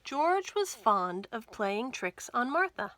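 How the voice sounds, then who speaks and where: A woman in her thirties reads a picture book to young children. Her voice is bright and a little high, and she takes her time with careful, expressive phrasing. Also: takes it slowly, dry recording. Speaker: children's librarian and storyteller. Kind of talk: animated picture-book read-aloud for children. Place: small indoor room lined with bookshelves.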